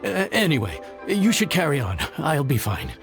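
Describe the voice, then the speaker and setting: A man's voice: on the high side, wildly swooping pitch, moderate pace, creaky, music in the background, full character voice. An actor voicing a young male chef who is nervous but earnest and hesitates with stammers. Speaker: chef, contestant.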